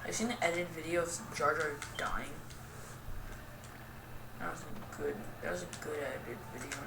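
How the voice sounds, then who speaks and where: A young woman giving casual, rambling game commentary in a self-deprecating, goofy and halting way. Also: low-pitched. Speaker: gaming video host. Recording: roomy, distant mic with clear noise.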